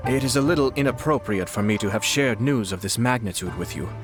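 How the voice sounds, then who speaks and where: An actor playing a composed young nobleman in a video game, speaking formally, politely and with measured eloquence. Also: mid-range voice, music in the background, natural voice. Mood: smooth, wry. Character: refined, composed young nobleman, head of a clan.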